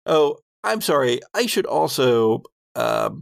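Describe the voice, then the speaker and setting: A male game master with a gravelly, mid-pitched voice, recorded dry and close. He improvises descriptive narration for a tabletop game, measured and with frequent pauses. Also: very animated, dry recording.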